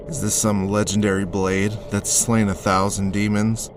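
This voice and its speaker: A low-voiced, world-weary man played by an actor narrating noir audio drama with a wry, sarcastic edge. The sound is very roomy, with faint noise behind. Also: lightly acted.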